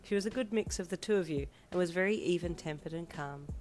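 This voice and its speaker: A woman with a hoarse, failing voice narrating a fanfiction story aimed at the listener. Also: fairly low pitch, fast. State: hoarse, losing her voice. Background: soft lofi piano music.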